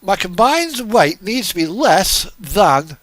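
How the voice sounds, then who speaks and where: A man's voice: high voice, very animated, slow, a bit bright, no echo. A man giving casual live commentary as he streams a game, chatting and thinking aloud.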